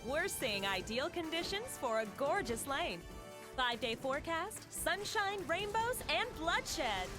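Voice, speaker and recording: A woman's voice: high voice, sing-song, a little slow. An actress playing a perky, cheeky female TV weather reporter, with bubbly, exaggerated broadcast delivery in a game trailer parody. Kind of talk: parody live news forecast. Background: trailer music.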